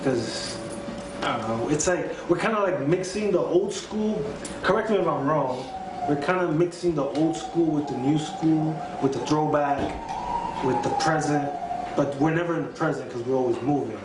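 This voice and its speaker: A man's voice: on the high side, moderate pace. Laid-back man heard from across the room. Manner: laid-back, rambling, lots of fillers. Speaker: guest musician. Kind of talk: casual interview chat. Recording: distant echoey mic with background noise.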